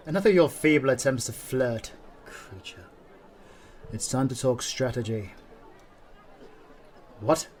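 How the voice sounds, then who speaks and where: A man's voice: mid-range voice, darkish tone. A British man playing a stern, aloof veteran demon hunter in a fantasy audio roleplay, speaking haughtily and formally to the listener. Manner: haughty, clipped, dryly formal.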